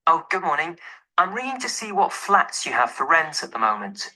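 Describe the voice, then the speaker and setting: A man's voice: fairly high pitch, sing-song, moderate pace, bright, forceful tone, exaggerated voice, poor audio. A man playing an easygoing young professional in an acted phone dialogue, speaking casually and informally. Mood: casual, friendly. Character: easygoing young male professional phoning to rent a flat.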